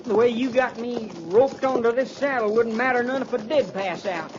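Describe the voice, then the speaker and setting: A man's voice: extremely high pitch, moderate pace. A man playing a weak, wounded, stoic cavalry trooper in pain, in a 1950s radio drama. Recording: muffled, noisy 1950s radio recording.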